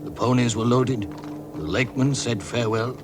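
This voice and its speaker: A man's voice: mid-range voice, normal speed, noisy background, close to an everyday voice. A man narrating a fantasy quest as a solemn storyteller in a scripted radio drama. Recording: narration under loud dramatic music. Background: loud music.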